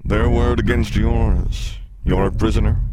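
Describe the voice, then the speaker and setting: A man voicing a booming sneering sphinx. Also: fairly even intonation, measured pace, mellow, no room sound. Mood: mocking. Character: mocking, menacing sphinx, huge lion-bodied creature. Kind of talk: audiobook character voice.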